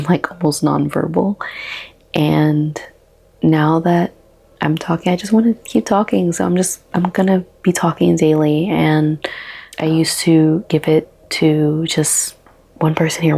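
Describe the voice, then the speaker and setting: A woman in her thirties with a soft, relaxing voice, talking slowly and haltingly in a casual daily vlog. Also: sits low, vocal fry. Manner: slow, halting, with many ums. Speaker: vlogger.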